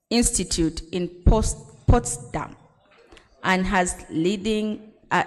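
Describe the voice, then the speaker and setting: A woman acting as emcee, formally reading a speaker's bio aloud. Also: mid-range voice. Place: large conference hall. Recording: echoey conference hall.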